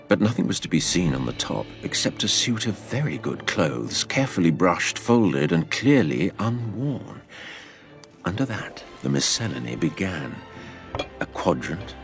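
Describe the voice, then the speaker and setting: A man playing a grave narrator who recounts his boyhood adventure in measured, literary storytelling for an audio drama. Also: low voice, very animated, creaky, over music, full character voice. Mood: grave, suspenseful.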